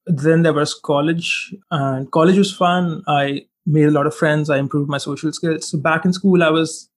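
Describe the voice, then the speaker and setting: A man in his twenties from India whose first language is Hindi, a guest in a relaxed interview, reflecting on his past and often saying "like" and "you know". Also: on the high side, normal speed. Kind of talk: casual interview chat.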